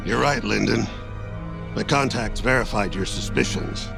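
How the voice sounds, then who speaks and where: A man playing a wary, serious rebel intelligence officer in a space game, speaking gravely in a gravelly voice. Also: fairly low pitch, moderate pace, over music. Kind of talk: dramatic in-game dialogue.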